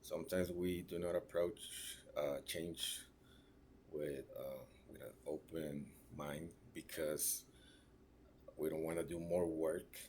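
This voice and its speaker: A man gives a class presentation. He pauses often and searches for words, checking in with short tag questions as he goes. A faint hiss of noise sits under the recording. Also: very deep voice, darkish tone. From Mexico (raised in Ciudad Juárez). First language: Spanish. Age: 30s. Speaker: registered nurse.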